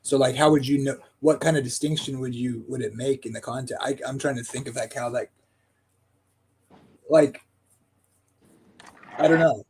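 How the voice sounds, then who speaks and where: A man in casual discussion who reads passages aloud and thinks out loud, halting with fillers. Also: mid-range voice, fairly even intonation, a little hiss or hum.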